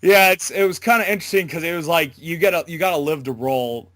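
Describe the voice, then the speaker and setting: A man's voice: fairly high pitch, quick pace, fairly bright tone, no echo. A man in a casual interview, talking as an amateur voice actor in a hesitant, rambling, informal way.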